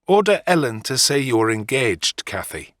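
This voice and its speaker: An actor playing a sullen, wounded young farmhand in a dramatic audiobook, gruff and resentful. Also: male voice, medium pitch, big pitch swings, moderate pace, fairly bright tone, dry recording, studio quality. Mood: hurt, agitated.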